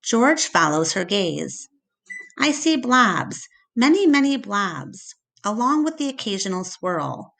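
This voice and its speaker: A woman reading a storybook aloud to a class, with expressive, dramatic and deliberate phrasing. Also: mid-range voice, gravelly, poor audio.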